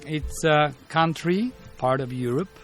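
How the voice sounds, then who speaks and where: A man in his fifties from Bulgaria, speaking English with a Bulgarian accent as a martial arts teacher being interviewed, pausing often to search for words. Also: a little high, faint music behind. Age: 50s. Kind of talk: interview storytelling.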